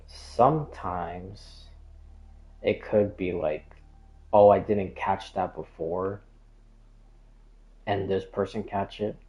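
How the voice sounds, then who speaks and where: A man's voice: lowish voice, creaky, mellow, low-quality recording. A man chatting casually as a game streamer, rambling and hesitant, often trailing off and drifting onto tangents. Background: background music.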